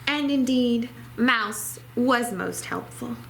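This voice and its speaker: A woman's voice: fairly high pitch, slow, vocal fry, background noise. A woman reading a children's story aloud expressively, as a library storytime reader.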